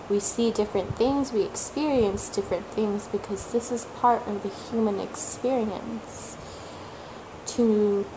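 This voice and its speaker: A woman for whom English is a third language, talking slowly and reflectively as a tarot reader, repeating words for emphasis and sometimes searching for them. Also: mid-range voice, background noise. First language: not English; English is her third language. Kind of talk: slow reflective monologue.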